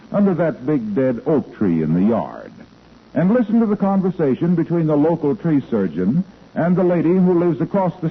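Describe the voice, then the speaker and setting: Animated male announcer on tinny old radio. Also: on the high side, breathy edge. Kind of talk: 1950s radio scripted narration.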